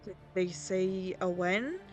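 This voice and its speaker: A woman's voice: on the low side, slow, a little mellow, soft background music. A woman voicing a fiercely protective, determined older sister in an amateur Minecraft roleplay, commanding and wry. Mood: resolute.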